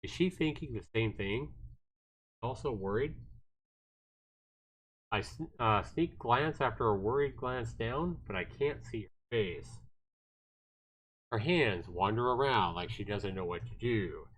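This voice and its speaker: A man's voice: on the low side, lively intonation, measured pace, pauses often, slightly rough, rough recording. A man livestreaming, narrating a visual novel aloud expressively with casual asides, game music playing behind him.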